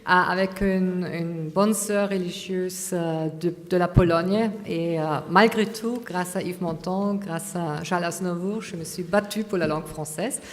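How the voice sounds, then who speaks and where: A woman's voice: on the low side, fairly even intonation, a little slow, hardly any pauses. Woman lecturing in an echoing hall. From Austria. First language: German. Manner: hesitant, many fillers and restarts. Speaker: conference speaker.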